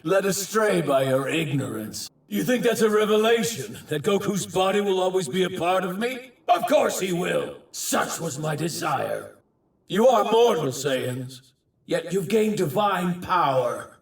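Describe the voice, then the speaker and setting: A man's voice: high voice, big pitch swings, a little slow, vocal fry, recorded from a distance, exaggerated voice. A man voicing an arrogant, fanatical god villain who scorns mortals, declaiming pompously and grandly in a theatrical anime dub style. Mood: smug, righteous. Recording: echoey clips with leftover sound effects.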